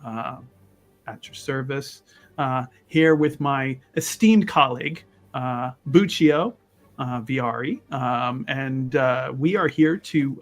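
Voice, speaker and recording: A man playing a pompous, condescending adventurer mage in a tabletop game, wordy and often halting mid-sentence. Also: mid-range voice. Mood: self-important.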